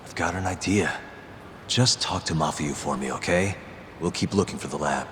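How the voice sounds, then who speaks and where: A man's voice: deep voice, normal speed, noisy background, close to an everyday voice. A man playing a level-headed, resolute investigator in a video game cutscene, casual and matter-of-fact. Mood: reasoning, assured. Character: level-headed, resolute male investigator, adult, quick-thinking. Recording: long reverb, music behind.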